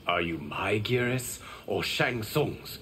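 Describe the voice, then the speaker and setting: Bright-voiced noble lord. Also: male voice, on the low side, expressive, takes it slowly, slight background noise. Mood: resolute. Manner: formal, assured. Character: calm, noble male lord and mentor god. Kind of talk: video game voice acting.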